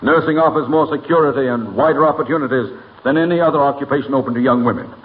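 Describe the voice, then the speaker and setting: A man's voice: mid-range voice, quick pace, natural voice. Man playing a pompous small-town mayor, tinny radio. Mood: earnest oratory. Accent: American. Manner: pompous, formal, sputtering protest. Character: earnest, pompous, easily exasperated small-town male mayor. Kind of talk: 1940s radio comedy acting. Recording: tinny, noisy 1940s radio broadcast.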